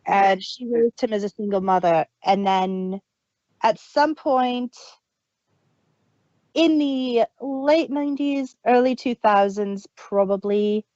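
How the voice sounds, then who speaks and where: A woman's voice: on the high side, slow, a little mellow, no room sound, lo-fi sound. A woman co-hosting a casual review chat, recounting story details at length. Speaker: podcast co-host.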